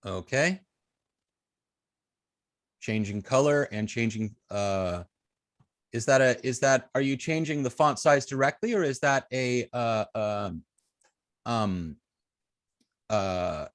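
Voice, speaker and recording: A man teaching informally as an instructor, thinking aloud with halting, repeated and restarted phrases. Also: mid-range voice, dry recording, clean studio sound. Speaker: web design instructor.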